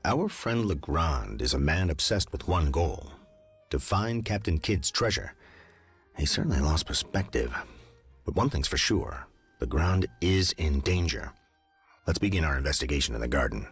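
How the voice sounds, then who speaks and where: Casting: grave, observant game detective, extremely low voice with lively intonation, measured delivery. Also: male voice, slightly rough, soft background music, full character voice. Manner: measured, serious. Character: composed, grave male detective, observant and serious. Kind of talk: video game cutscene acting.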